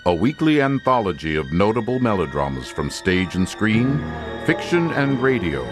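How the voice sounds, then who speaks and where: Deep, portentous radio announcer over music. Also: male voice, big pitch swings. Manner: portentous, theatrical, measured. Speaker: anthology series announcer. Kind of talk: old-time radio announcing.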